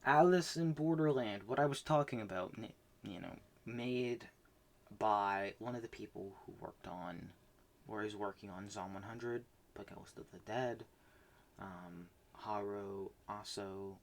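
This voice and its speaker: A man casually recapping the manga he read this month, rambling with many fillers and restarts, swinging between loud bursts and soft mumbles. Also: lowish voice, a little slow. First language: not Japanese. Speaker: manga reviewer.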